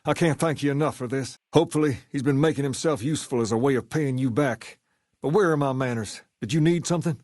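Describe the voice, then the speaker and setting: Male actor as smooth video game gang leader, drawling swagger, folksy and cool, mid-range pitch, close dry sound. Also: quick pace, darkish tone, dry recording, close to an everyday voice. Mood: grateful, easygoing. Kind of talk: video game dialogue acting.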